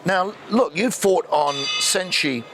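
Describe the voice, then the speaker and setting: A man's voice: high-pitched, slow pace, over music. A man hosting a fight interview show, jokey and chatty as he asks questions. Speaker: interviewer host. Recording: noisy background.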